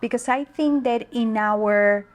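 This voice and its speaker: A woman from the Dominican Republic, a native Spanish speaker, talking as a guest about leadership in a slow, thoughtful way with pauses and fillers. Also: a little high. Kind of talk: interview conversation.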